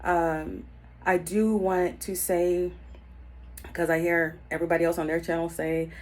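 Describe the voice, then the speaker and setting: A woman in her thirties talking unscripted to camera, earnest and reflective, with many pauses and filler words as she gives life advice. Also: fairly low pitch, little pitch movement, darkish tone. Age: 30s. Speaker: YouTube self-help vlogger. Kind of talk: unscripted personal talk to camera.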